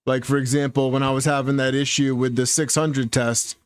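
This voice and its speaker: A man hosting a casual live tech chat, talking in a relaxed way and thinking out loud with restarts. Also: mid-range voice, brisk, smooth, no echo. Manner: relaxed, talks through thoughts with restarts. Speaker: livestream host.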